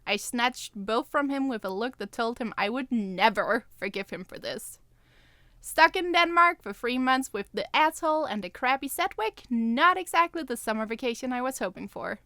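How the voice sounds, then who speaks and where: Bright, higher-pitched woman podcaster. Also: lively intonation, moderate pace, no room sound, studio quality. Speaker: podcast co-host and co-writer. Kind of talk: casual chat and dramatic reading of a first-person story.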